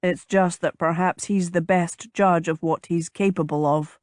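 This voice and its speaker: A woman voicing a courteous, gallant gentleman suitor in an audiobook, measured and formal in manner. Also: lowish voice, steady tone, no echo, studio quality, natural voice. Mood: reasonable. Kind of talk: audiobook character dialogue.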